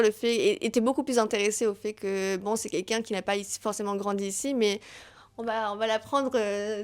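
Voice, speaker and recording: Chatty young woman, light American accent. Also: on the high side. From raised between Tahiti, Cuba and New York. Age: young adult. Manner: chatty, many hesitations and restarts. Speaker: podcast host. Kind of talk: casual solo podcast storytelling.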